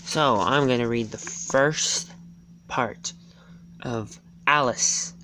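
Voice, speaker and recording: Someone reading a story out loud super slowly, halting, trips over words, faint hum in background. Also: mid-range voice, low-quality recording. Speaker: amateur reader. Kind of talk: dramatic storybook and poem reading.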